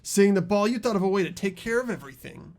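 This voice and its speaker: A man voicing an earnest high school boy in a mystery game, explaining a case in a deliberate, dramatic way. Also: animated, a little mellow. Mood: grave, determined. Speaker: amateur voice actor in a game playthrough.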